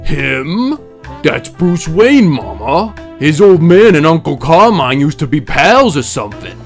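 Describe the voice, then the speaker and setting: A man's voice: a little high, very animated, measured pace, faint music behind. A man playing a dim, eager young mobster in a comic dub drama, thuggish but deferential to his mother.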